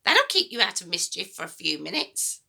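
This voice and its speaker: A woman's voice: fairly low pitch, big pitch swings, fairly quick, vocal fry, pushed and bright, exaggerated voice. A woman voicing a grumpy, selfish old grandmother in a children's storybook, scolding and snapping. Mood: demanding.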